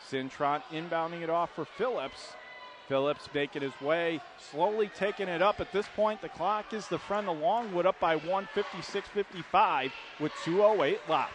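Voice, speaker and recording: A male radio announcer with a notably high voice calls live basketball play-by-play. He describes the game in brisk, clipped phrases. Clear gym noise and ball bounces sit behind him. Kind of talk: live radio sports commentary. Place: indoor basketball arena. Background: loud game ambience, basketball bounces.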